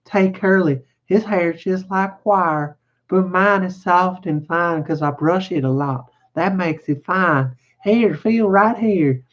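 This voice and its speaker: Casting: male narrator as young wife, complacent and scornful, rustic talk, pitched well up, lo-fi sound. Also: takes it slowly. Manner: raised pitch, rustic colloquial wording. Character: young married woman, vain, complacent, scornful.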